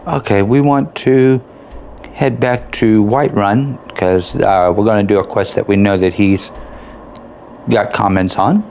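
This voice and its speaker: A man's voice: fairly low pitch, steady tone, measured pace, a little mellow, muffled recording. A man giving casual commentary as he plays and reviews a video game. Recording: faint background noise. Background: game ambience.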